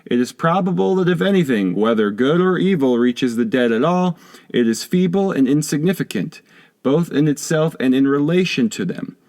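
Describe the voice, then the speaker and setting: A man narrating a philosophy audiobook in a measured, formal, lecturing manner. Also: a little high, animated, darkish tone. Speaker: audiobook reader. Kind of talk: scripted narration of a philosophy text.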